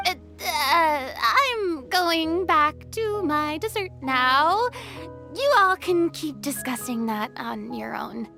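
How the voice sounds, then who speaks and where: A woman's voice: high-pitched, lively intonation, slow, creaky, music in the background, heavily acted. A woman voicing a proud but easily flustered, theatrical young film star in a video game.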